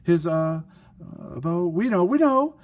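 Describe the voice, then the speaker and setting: A man's voice: sits high, lively intonation, slow, a little mellow, pitched above the performer's own voice. A man voicing two proud, vain older sisters in a storybook tale, boastful and insistent. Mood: flustered. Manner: boastful, insistent, stammering when challenged.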